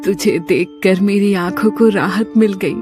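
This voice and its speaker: A woman's voice: medium pitch, measured pace, natural voice. A woman playing an affectionate village mother in a story dialogue. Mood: tender joy. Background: background music.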